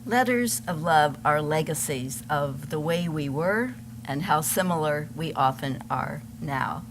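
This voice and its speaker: A woman giving a formal, scripted introduction as a service leader, recorded with clear background noise. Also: low-pitched, lively intonation, a little slow.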